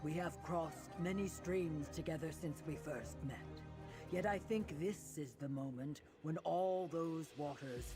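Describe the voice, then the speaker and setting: A man's voice: a little high, natural voice. Man as solemn, poetic game ally over music. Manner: measured, formal, poetic. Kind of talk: video game dialogue.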